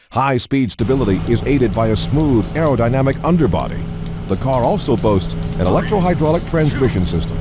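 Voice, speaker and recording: A man reading scripted promotional narration about cars in a polished, measured announcer manner, as in a video game showcase. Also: medium pitch. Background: music.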